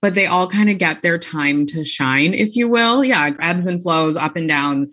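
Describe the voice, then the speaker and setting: Woman guest author, lowish pitch, chatty and filler-heavy, easy laugh. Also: normal speed, a bit bright. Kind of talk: casual interview chat.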